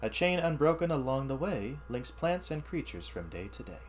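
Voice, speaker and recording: A man teaching through an expressive read-aloud of rhyming science verse, with explanatory asides in an instructional manner. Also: medium pitch, moderate pace, a little hiss or hum. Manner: animated, instructional.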